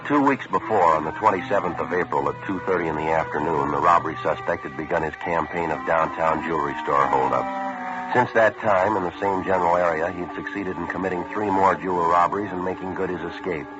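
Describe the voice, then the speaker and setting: Deep, deadpan American police detective played by an actor. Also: male voice, a little fast, over music, close to an everyday voice. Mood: deadpan narration. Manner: deadpan, matter-of-fact, clipped. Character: businesslike, terse male police detective sergeant. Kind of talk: 1950s radio police drama with clipped narration. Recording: muffled, noisy old radio broadcast recording.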